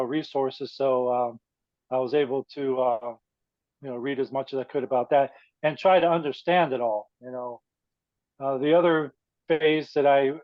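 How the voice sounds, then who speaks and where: A man in his fifties giving a measured, plainspoken personal talk to a support group, pausing often with fillers. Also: medium pitch, no room sound, muffled recording. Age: 50s. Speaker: guest speaker.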